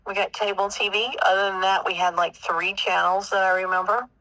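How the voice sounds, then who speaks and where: Casting: Southern American woman, 50s, bright tone in a dry, close sound, casually answering family interview questions, thinking out loud. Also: medium pitch, level tone, brisk, dry recording, rough recording. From South Carolina, United States.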